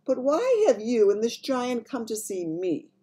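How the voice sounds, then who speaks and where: A woman's voice: a little high, sing-song, a little slow, warm tone, breathy edge, voice pushed up, heavily acted. A woman voicing a dignified, disbelieving yet gracious queen in a storybook, polite and commanding. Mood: composed, gracious.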